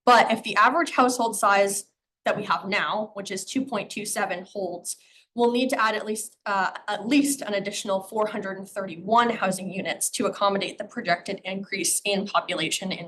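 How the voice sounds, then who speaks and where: A woman gives a public presentation on town housing figures. Her voice is slightly hoarse from a cold, and she explains things plainly, filling pauses with ums and uhs. Her pitch sits in the middle of the range, with a faint rumble behind her. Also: fairly bright tone, low-quality recording. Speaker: town planner presenting a comprehensive plan.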